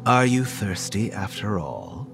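A slow, gravelly, menacing ancient being. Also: male voice, wildly swooping pitch, soft background music, exaggerated voice. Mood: mocking menace. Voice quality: low, gravelly. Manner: slow, cryptic, quietly threatening. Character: ancient inhuman man with skull cup, menacing and mocking. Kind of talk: horror audio drama acting.

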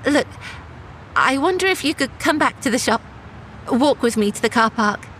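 A woman's voice: sits high, animated, moderate pace, fairly bright tone, a little hiss or hum. An actress playing an anxious, self-justifying woman shop manager in a naturalistic audio drama. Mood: worried.

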